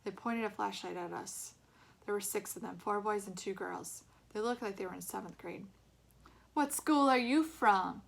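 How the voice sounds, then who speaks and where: A woman reading a storybook aloud as an expressive narrator. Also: mid-range voice, moderate pace, creaky.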